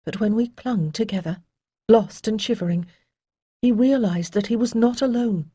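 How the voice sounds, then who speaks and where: A woman voicing a calm young bride-to-be who speaks softly but firmly. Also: a little high, normal speed, slightly rough, dry recording, studio quality, pitched above the performer's own voice.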